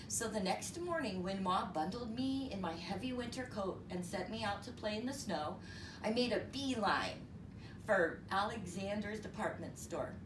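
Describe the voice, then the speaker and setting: A woman reading a story aloud in an expressive, explanatory way, as if to young listeners. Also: medium pitch, moderate pace. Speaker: storyteller reading a picture book. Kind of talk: read-aloud story narration. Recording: roomy, distant mic, noisy.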